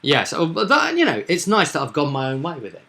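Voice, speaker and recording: A man's voice: on the high side, wildly swooping pitch, brisk, gravelly, sharp tone. A man hosting as game master, chatting casually about a tabletop roleplaying game and often repeating himself with tag questions.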